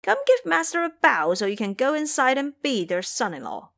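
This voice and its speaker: Cheeky male monkey disciple, done by a woman, bossy, teasing, mocking, swooping lively pitch, audio drama. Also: normal speed, vocal fry, heavily acted.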